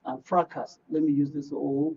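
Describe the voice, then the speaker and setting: A man with a Nigerian accent commenting as a guest on a live political panel, explaining in a halting, measured way. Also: a little high, flat delivery, darkish tone, breathy edge. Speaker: studio guest, public affairs commentator. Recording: muffled, distant-mic broadcast audio.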